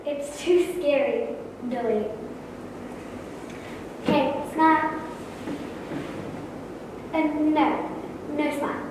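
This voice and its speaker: A woman's voice: high voice, very slow, pauses often. An actress playing a dry adult woman directing a boy for a photo. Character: brisk adult woman taking a boy's photo. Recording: echoey hall, distant, noisy.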